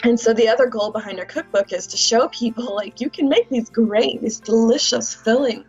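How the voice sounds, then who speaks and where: A woman's voice: a little high, moderate pace, a little mellow, husky, faint music behind. Chatty woman food blogger. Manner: chatty, detailed explainer. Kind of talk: casual interview chat. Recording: dull lo-fi recording.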